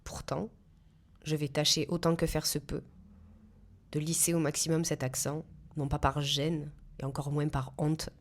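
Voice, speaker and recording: A woman in her thirties from south-east France, speaking with a southern French accent as she reads her own podcast narration in a measured, careful way. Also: sits low, little pitch movement. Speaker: podcast host. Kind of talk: scripted solo podcast narration.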